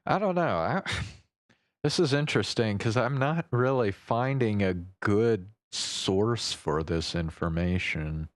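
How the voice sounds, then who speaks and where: A man with a deep, smooth voice hosts a casual livestream. He speaks slowly, thinking out loud with hesitations and the odd swear word. The sound is close and dry. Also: lively intonation, no room sound. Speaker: livestream host. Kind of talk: casual unscripted livestream chat.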